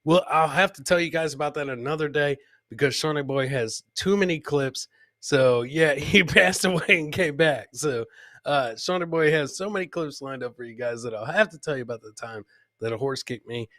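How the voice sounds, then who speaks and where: A man's voice: fairly high pitch, moderate pace, a bit bright, dry recording, studio quality. A man hosting a comedy podcast, casually commenting on funny video clips and repeating his own catchphrases. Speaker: podcast host.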